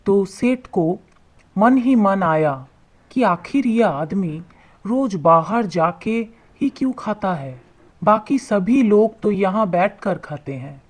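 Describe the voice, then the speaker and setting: A woman narrates an animated moral tale. Her voice sits at a middle pitch, and she goes slowly, setting out each phrase deliberately. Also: husky. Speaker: story narrator. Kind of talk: scripted storytelling narration for animated moral tales.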